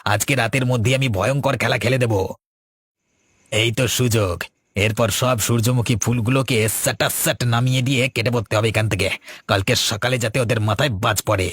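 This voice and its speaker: A man's voice: medium pitch, steady tone, brisk, fairly bright tone, husky, no room sound, studio quality. An actor playing a sly, scheming henchman in a village cartoon, sneering and conspiratorial. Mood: scheming, nervous. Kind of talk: Bengali cartoon dialogue.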